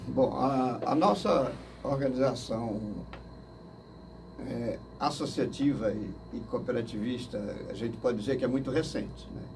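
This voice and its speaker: An older man from Manaus, answering interview questions slowly and hesitantly, with many fillers and restarts. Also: mid-range voice. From Manaus, Brazil. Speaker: interview guest. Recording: distant mic with faint noise.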